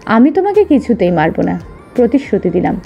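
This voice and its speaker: A woman's voice: mid-range voice, very animated, a little fast, vocal fry, dull audio, faint music behind. A woman voicing a tiger in a children's story, pleading and grateful. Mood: reassuring. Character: tiger trapped in a well, promising and grateful.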